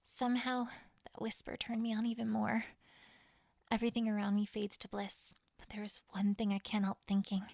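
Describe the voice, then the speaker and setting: A woman playing a proud, conflicted pony queen, telling her story in first person in a dramatic, expressive fan fiction reading. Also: mid-range voice, vocal fry, no room sound, lightly acted. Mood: conflicted, breathy.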